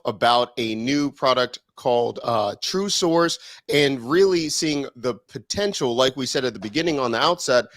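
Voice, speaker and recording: A man's voice: mid-range voice, fairly bright tone, no room sound, studio quality. A man hosting an interview show over a video call, asking friendly, upbeat questions. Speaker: podcast host. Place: home studio with a brick wall and LED lights.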